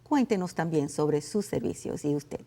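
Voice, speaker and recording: A woman lawyer hosting a legal television program, speaking in a formal, measured way as she introduces and questions guests. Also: sits low, expressive, warm tone. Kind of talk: scripted TV hosting and interviewing.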